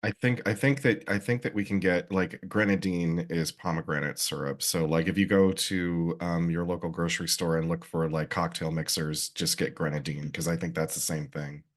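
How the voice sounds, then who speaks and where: A man with a very low, deep voice co-hosting a casual podcast. He explains things calmly and pauses to think as he goes. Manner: calm, explanatory, thinks aloud. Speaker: podcast co-host.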